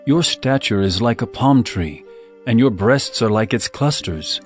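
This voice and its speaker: An adoring royal lover voiced by a male actor declaims in a mid-range voice over soft music. His delivery is measured and ardent, in the poetic style of a dramatized scripture audiobook. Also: mid-range voice, expressive, natural voice.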